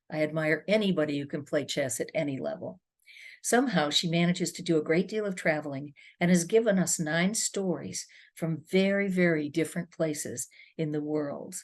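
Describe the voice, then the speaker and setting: A woman with a low voice hosting an author panel. She asks questions and reads out guest introductions in a measured, friendly manner. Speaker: panel moderator.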